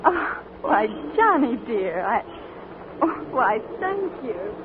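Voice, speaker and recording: A woman's voice: fairly high pitch, big pitch swings, slow, narrow, tinny audio, pitched above the performer's own voice. A woman putting on a sweet, coy young girlfriend in a 1940s radio commercial. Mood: gushing. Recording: hissy, thin old broadcast.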